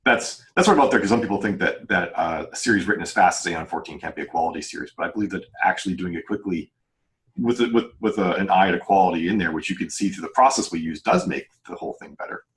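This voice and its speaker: A man with a deep voice talks at a quick clip in casual podcast conversation. He explains things earnestly, rambling and backtracking to rephrase as he goes. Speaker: novelist and podcast panelist.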